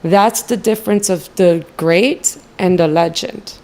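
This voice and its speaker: A woman's voice: fairly low pitch, unhurried, a little mellow. A woman from New City, giving long, confident interview answers as a real estate event organizer. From New York City. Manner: confident, expansive, speaks in long lists.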